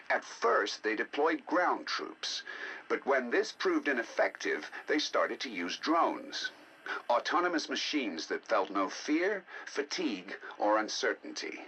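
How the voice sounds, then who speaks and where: An actor playing a scholarly, intrigued scientist who narrates scripted game audio logs in a deliberate, theatrical, musing way. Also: male voice, medium pitch, bright, forceful tone, a little hiss or hum, full character voice, poor audio. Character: scholarly male scientist, intrigued by ancient alien data. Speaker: researcher narrating decoded alien history logs.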